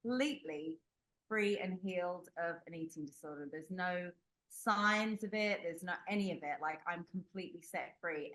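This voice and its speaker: A woman's voice: medium pitch, fairly bright tone, low-quality recording. A young adult woman from the United Kingdom, a guest telling a personal story in an interview, candid and rambling with many fillers and restarts. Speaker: podcast guest.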